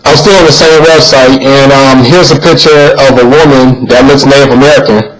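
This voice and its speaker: A man's voice: mid-range voice, steady tone, moderate pace, poor audio. A man narrating a video, reading written text aloud in a plain, earnest way and sometimes stumbling and repeating words.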